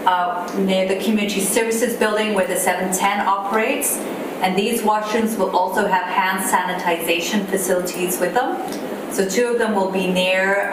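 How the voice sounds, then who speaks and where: A woman with a low voice giving a staff update in a video meeting, speaking steadily with fillers. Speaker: city staff presenter. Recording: roomy distant video call audio with background noise.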